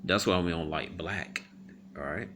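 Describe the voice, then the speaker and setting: A man giving casual commentary on a video. He reads viewer comments aloud, rebuts them, pauses often with "um," and keeps asking "all right?". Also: low voice, sharp tone, a little hiss or hum. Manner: halting, many ums and repeated "all right?" tags.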